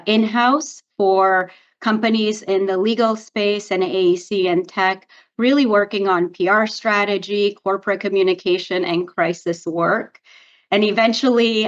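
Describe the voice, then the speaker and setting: Upbeat woman from Romania, slightly muffled. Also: mid-range voice. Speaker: guest, PR agency founder. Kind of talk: interview answers. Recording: slightly dull lo-fi audio.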